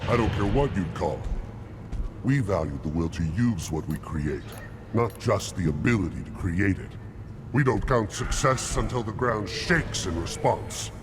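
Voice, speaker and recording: Bitter, self-important alien researcher, low pitch, grand delivery, game scene with clear rumbling noise. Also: male voice, expressive, measured pace. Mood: bitter, boastful. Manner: pompous, grandiose. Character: pompous, bitter alien krogan scientist obsessed with explosive weapons. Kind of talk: video game character dialogue.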